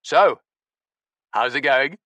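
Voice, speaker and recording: A man's voice: medium pitch, fairly even intonation, fairly quick, pushed and bright, no echo, highs cut off. A man voicing a smug, pushy young man who talks airily and repeats himself in an audiobook. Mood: brash. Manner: boastful, airy, repeats himself.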